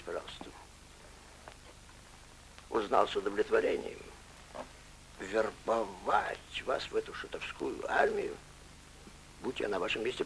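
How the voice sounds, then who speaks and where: An actor playing a courteous, scheming German officer who talks politely and smoothly in a film. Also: male voice, medium pitch, a bit bright, a little hiss or hum, exaggerated voice, poor audio. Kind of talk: film acting.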